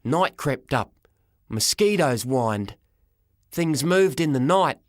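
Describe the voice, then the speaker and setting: A man narrating a first-person story for an audiobook, dramatic and expressive, in short measured phrases. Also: medium pitch, no echo, clean studio sound.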